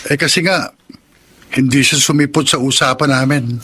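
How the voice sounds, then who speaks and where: A man's voice: medium pitch, normal speed, pushed and bright, slight background noise, close to an everyday voice. An actor with a Filipino accent playing a young, frank and loyal male friend in a radio drama. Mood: earnest, apologetic. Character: young man, teasing but honest, aggrieved friend. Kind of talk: Tagalog radio drama acting.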